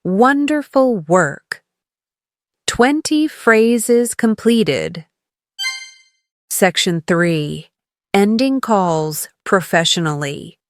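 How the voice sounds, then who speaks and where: Woman english teacher doing repeat-after-me phrases, really slow and careful, super dry close sound. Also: mid-range voice, expressive, dry recording. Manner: encouraging coaching. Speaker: English teacher leading shadowing practice. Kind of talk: scripted language lesson, model phrases said twice for repeating.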